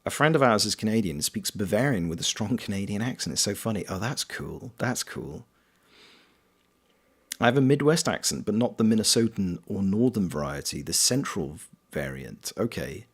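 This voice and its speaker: A British man with a fairly low voice that moves a lot in pitch, bouncing up and down as he talks. He chats casually on a live stream, reading out viewer comments and answering them by name in a playful, easygoing way. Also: animated, normal speed, husky. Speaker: streamer and voice actor.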